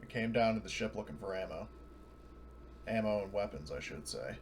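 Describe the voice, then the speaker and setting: A man's voice: fairly low pitch, normal speed, slight background noise. A man playing in a tabletop roleplaying game, talking casually in character.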